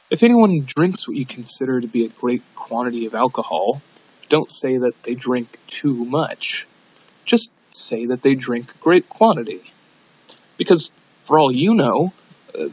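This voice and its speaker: A man reading a scripted audiobook in an amateur narration style, on a dull lo-fi recording. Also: medium pitch. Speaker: audiobook reader.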